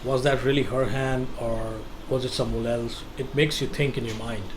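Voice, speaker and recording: A man narrating a game walkthrough in casual commentary, explaining step by step with halting restarts and fillers. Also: medium pitch, fairly even intonation, background noise.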